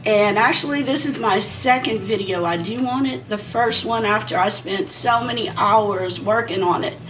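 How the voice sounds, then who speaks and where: A woman in her forties, a beauty vlogger, chatting casually and rambling while she does her makeup, her voice a bit hoarse from a sinus issue. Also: mid-range voice, moderate pace, far from the mic, over music, low-quality recording. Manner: rambling and conversational, talking while applying makeup.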